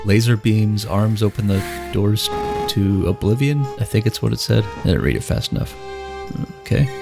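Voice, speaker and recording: Man, very low voice, stuffy head cold, relaxed game commentary, faint music underneath. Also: moderate pace, creaky. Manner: relaxed, chatty, thinks aloud while playing. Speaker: let's play host.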